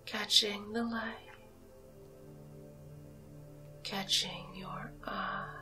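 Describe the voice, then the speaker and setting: A woman performing a whispered ASMR hypnosis roleplay as a haughty, mesmerizing alien cow, breathy and drawn-out with long pauses. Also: mid-range voice, little pitch movement, bright, forceful tone, soft background music. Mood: hypnotic, soothing.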